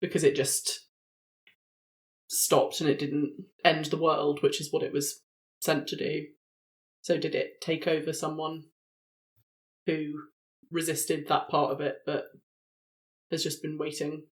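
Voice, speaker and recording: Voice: mid-range voice, level tone, unhurried, pauses often. A roleplaying game player tentatively reasoning aloud about their character.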